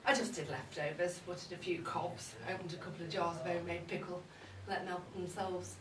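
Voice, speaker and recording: A woman's voice: lowish voice, steady tone, brisk, lightly acted. Female performer as older, cheeky Christmas gossip; bawdy, confiding live comic monologue; echoey room, audible audience. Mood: chatty, amused. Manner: wry, bawdy, confiding, chatty. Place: indoor live event at a pub. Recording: roomy, distant live recording with audience noise. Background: audience crowd.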